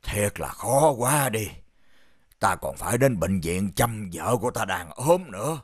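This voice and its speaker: A man voicing a poor, kindly, overworked older shoemaker with a gentle, expressive manner in a children's animated fairy tale. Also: lowish voice, measured pace, slightly rough, exaggerated voice. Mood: weary, worried. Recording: music under the voice. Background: music.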